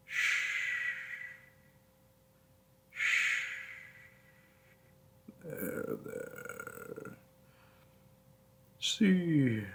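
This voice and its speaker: A gentle demon boyfriend, played by a man in an intimate audio roleplay. He speaks very slowly and tenderly, with a breathy, husky voice that often sinks into creak. His manner is hushed, doting and soothing. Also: medium pitch, heavily acted. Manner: slow, hushed, tender, with pauses.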